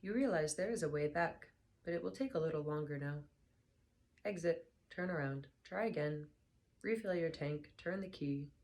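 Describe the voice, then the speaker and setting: Young woman, very low and gravelly, hoarse and tired, soft-spoken casual reader. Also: moderate pace. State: hoarse, slightly lost voice. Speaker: book reader vlogger. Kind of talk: casual chat then reading aloud.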